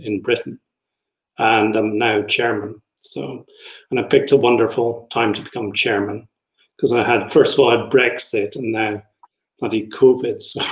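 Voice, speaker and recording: A Scottish man from Edinburgh in his fifties, a travel company director giving a rambling, self-deprecating interview with plenty of ums over a video call. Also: lowish voice. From Scotland. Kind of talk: casual conversational interview. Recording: roomy, dull video-call audio.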